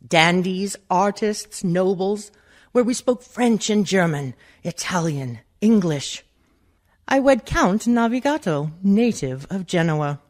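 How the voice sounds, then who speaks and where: A woman plays a sly, much-married society lady looking back on her life in a radio drama. She takes her time over every phrase, and her pitch moves widely for dramatic effect. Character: worldly, insidious, much-married rich woman telling her life from the grave. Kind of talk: theatrical monologue for community radio play.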